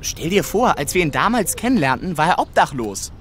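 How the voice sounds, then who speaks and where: A sincere, polite teenage boy in a children's football radio play. He speaks earnestly in a somewhat high voice. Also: big pitch swings, brisk, a bit bright, slight background noise. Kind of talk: children's audio drama acting.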